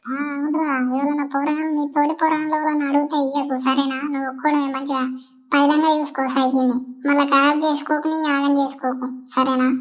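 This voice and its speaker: A woman's voice: sits high, little pitch movement, fast, natural voice. A woman voicing a scolding but doting village mother in a comedy cartoon, chiding with a Telangana accent. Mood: fond, cautioning. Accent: Telangana Telugu. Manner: nagging, chiding. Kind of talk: Telugu comedy cartoon dialogue.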